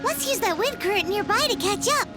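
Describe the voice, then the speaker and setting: A woman voicing a tiny, chirpy, nosy fairy companion in a video game, with a squeaky childlike voice. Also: animated, a little fast, music in the background. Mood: curious, excited. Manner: refers to self in third person, exclamatory. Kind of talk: cartoonish video game voice acting.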